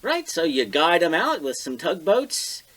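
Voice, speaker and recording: A man's voice: on the high side, big pitch swings, a little slow, a bit bright. An American man co-hosting a podcast, chatting casually over a beer. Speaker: podcast co-host.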